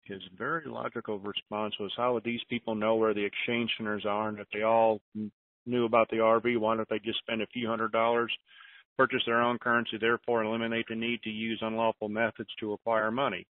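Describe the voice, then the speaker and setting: A man hosts a casual call in a fairly low voice, plain-spoken and matter-of-fact. The sound is a thin telephone band with almost no room around it. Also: flat delivery, fairly quick, no echo. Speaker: call host answering questions. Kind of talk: casual conference-call talk. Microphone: phone conference call. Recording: narrow tinny phone line.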